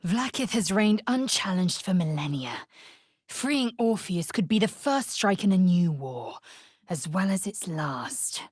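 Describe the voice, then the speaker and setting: Casting: fierce, proud female alien warrior in a fantasy game. Raspy low register, deliberate pace, stern and imperious. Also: animated, dry recording, clean studio sound. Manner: clipped, imperious, formal archaic phrasing. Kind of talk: video game character voice acting.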